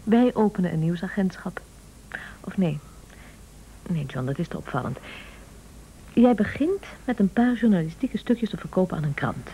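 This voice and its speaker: Een actrice in een Nederlands hoorspel speelt een sluwe, praktische echtgenote die bazig en vleiend aandringt. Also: female voice, fairly low pitch, animated, normal speed, pauses often, warm tone, a little hiss or hum, low-quality recording. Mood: eager, insistent. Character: shrewd, scheming, persuasive wife, practical and bossy. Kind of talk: Dutch radio play acting.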